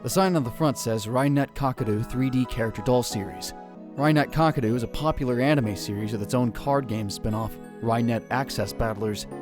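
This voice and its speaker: A man's voice: medium pitch, a little fast, close to an everyday voice. A man playing an anxious, dramatic young conspiracy theorist who narrates a visual novel in a theatrical, self-questioning way. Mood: wry, dismissive. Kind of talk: first-person visual novel narration, acted. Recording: music bed under the voice. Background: background music.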